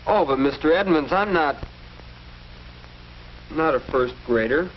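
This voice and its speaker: An actor playing an earnest, polite teenage schoolboy in a 1940s educational film, speaking deferentially. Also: male voice, on the high side, lively intonation, a little slow. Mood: puzzled, earnest. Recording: muffled, noisy old 1940s film soundtrack.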